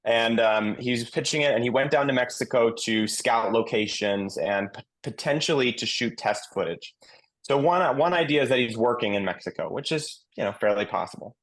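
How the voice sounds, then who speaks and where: A man's voice: mid-range voice, normal speed, fairly bright tone. A man on a podcast panel giving conversational, explanatory commentary.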